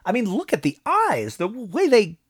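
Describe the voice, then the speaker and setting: A man voicing an excitable, jovial businessman who gushes in a high voice. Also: moderate pace, breathy edge, heavily acted. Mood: alarmed. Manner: high, gushing and breathless.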